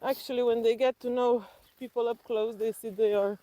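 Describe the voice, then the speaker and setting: A woman from Slovenia with a Slovenian accent, telling personal stories reflectively in conversation and haltingly thinking aloud as an interview guest. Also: on the high side, steady tone, dark tone, rough recording.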